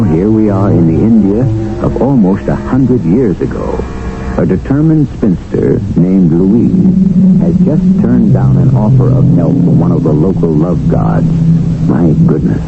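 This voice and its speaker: A man hosting and narrating a radio drama in a wry, theatrical way. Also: gravelly. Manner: wry, theatrical, with wide swings of pitch. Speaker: host and narrator. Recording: tinny 1970s radio broadcast over loud music.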